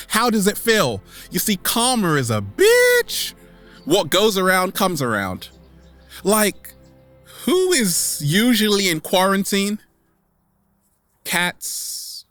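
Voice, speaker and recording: Animated, bright-voiced man with theatrical delivery. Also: high-pitched. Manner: theatrical, repeats catchphrases, dramatic pauses, exclaims. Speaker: vlog host. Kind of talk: animated comedic monologue to camera.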